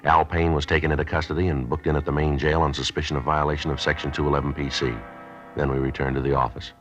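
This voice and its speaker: An actor playing a terse, matter-of-fact police sergeant in an old-time American radio crime drama. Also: male voice, extremely low pitch, flat delivery, quick pace. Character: terse, businesslike male police sergeant, posing as buyer. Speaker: police detective narrator. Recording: dull vintage radio recording, music behind.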